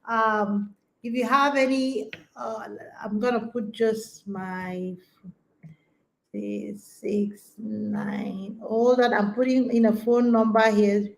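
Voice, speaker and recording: A woman's voice: medium pitch, steady tone, slow pace, creaky, a little mellow, rough recording. A woman in her forties hosting a conference and coaching women, talking emphatically and urging her listeners on. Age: 40s. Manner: emphatic and exhorting, with repeated rallying phrases. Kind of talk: motivational talk to an online audience.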